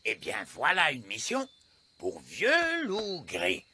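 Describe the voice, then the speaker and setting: A man playing a hearty, bumbling old wolf scout leader in a cartoon, with theatrical, drawn-out delivery. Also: fairly high pitch, wildly swooping pitch, bright, forceful tone, slight background noise, exaggerated voice. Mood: hearty, weary.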